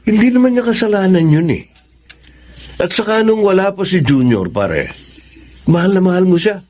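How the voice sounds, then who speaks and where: A man's voice: sits high, sing-song, normal speed, darkish tone. An actor in a radio drama playing a frank, caring godfather who speaks earnestly with some reproach. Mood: earnest, reproachful. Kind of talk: Tagalog radio drama acting.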